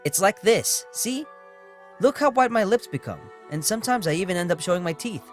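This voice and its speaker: A man playing a gentle, cheerful, patient young savior in an audio drama, with a kindly, reassuring manner. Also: high voice, lively intonation, a little fast. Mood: bright, friendly. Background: music.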